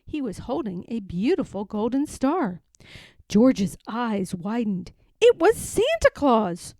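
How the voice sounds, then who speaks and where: A woman reading a children's picture book aloud in an expressive, sing-song way. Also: a little high, slow, dry recording. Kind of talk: storybook read-aloud.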